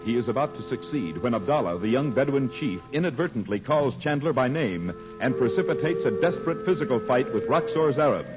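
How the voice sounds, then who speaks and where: A man's voice: mid-range voice, lively intonation, a little fast, few pauses. Vintage radio announcer, male, formal and dramatic scripted read, emphatic, muffled old broadcast sound with music.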